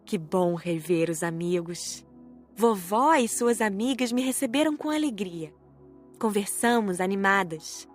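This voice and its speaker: A woman reading scripted first-person narration as an audiobook narrator. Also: mid-range voice.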